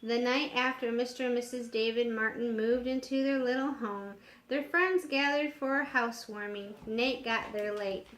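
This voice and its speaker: A woman reading a children's novel aloud in an expressive, deliberate storytelling manner. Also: a little high, reverberant, rough recording.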